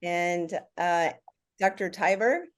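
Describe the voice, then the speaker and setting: A woman's voice: lowish voice, slow pace. Woman moderating a panel. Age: middle-aged. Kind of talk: formal welcome and panel moderation.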